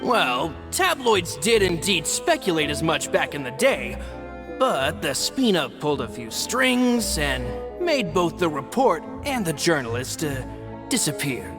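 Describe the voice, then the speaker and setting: A man playing a loyal, courteous henchman in a video game, speaking politely and deferentially with a gravelly edge. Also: on the high side, sing-song, a little slow, music in the background. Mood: matter-of-fact. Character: loyal, courteous henchman, dutiful to his lady boss.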